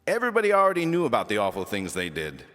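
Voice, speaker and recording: A man's voice: lowish voice, wildly swooping pitch, very fast, sharp tone, in a large hall, faint music behind. A man reading a children's book aloud as a storyteller, with theatrical, emphatic delivery. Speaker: audiobook reader.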